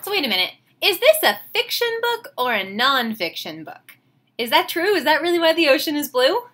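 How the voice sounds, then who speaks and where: A woman, reading a picture book aloud to children with expressive, enthusiastic, chatty asides. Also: sits high, a little fast. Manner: expressive, enthusiastic, questioning the listeners. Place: small home room, indoors.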